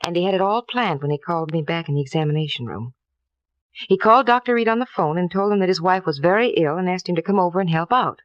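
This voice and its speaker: An actress playing a frightened, defensive American doctor's wife, earnest and halting, in a 1950s radio drama. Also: female voice, on the low side, animated, dry recording, close to an everyday voice. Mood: distressed, pleading. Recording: dull, dry vintage radio recording.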